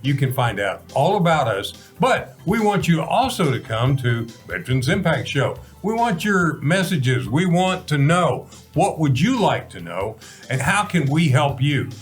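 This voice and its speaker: A man's voice: fairly high pitch, very animated, a little slow, hardly any pauses, faint music behind. Folksy American man in his seventies, hosting. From Texas, United States. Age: 70s. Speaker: talk show host. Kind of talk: conversational talk show hosting.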